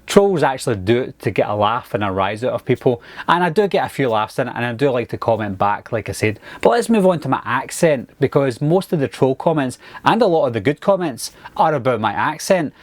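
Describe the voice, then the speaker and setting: Glaswegian male vlogger, mid-pitched, earnest and patient, dry close sound. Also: big pitch swings, a little fast, few pauses, no echo. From Glasgow, Scotland. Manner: earnest, patient, lots of fillers and restarts. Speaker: YouTuber. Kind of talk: casual talk to camera.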